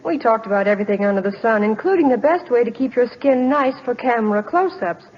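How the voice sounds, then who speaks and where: A young woman chats as a guest in a scripted old radio promotion. Her voice is fairly high. The recording is dull and muffled. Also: lively intonation, fast. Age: 20s. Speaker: actress guest endorsing soap.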